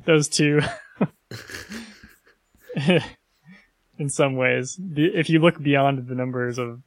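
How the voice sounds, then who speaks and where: A young man co-hosting a loose comedy podcast, laughing easily and nudging his partner along with playful questions. His voice sits at a middling pitch, recorded dry and close. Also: fairly even intonation, takes it slowly, no room sound. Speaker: podcast co-host. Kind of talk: casual comedic chat.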